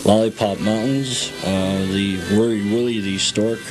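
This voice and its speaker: A man's voice: on the low side, fairly even intonation, a little slow, poor audio. A man guiding a tour as an interview guest, telling a story step by step in a matter-of-fact way. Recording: music playing behind the voice.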